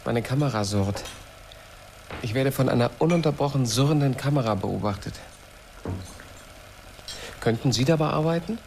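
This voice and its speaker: A man's voice: mid-range voice, normal speed, long pauses, a little mellow, lightly acted. A man acting in a German radio play as a tormented, obsessive engineer, agitated, pleading and insistent. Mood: anxious, obsessed. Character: tormented, obsessive male engineer hounded by a whirring. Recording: clearly noisy old radio production.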